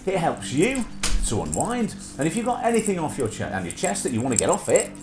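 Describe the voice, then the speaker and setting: A middle-aged man with a slightly nasal voice hosts a relaxed livestream chat, his pitch swinging up and down all the time. He rambles along with lots of small hesitations. His voice rings in an echoey room with music playing clearly behind. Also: fairly quick. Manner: rambling with frequent filler hesitations. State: nasal from sinusitis. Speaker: host.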